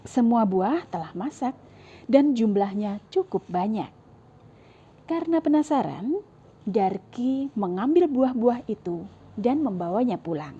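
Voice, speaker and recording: A woman with an Indonesian accent tells a children's story in a sing-song way and keeps talking straight to her young listeners. Her voice is warm and mellow with a breathy edge. The clip-on mic recording sounds dull and lo-fi. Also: on the high side, animated, unhurried, pauses often. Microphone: clip-on lapel mic.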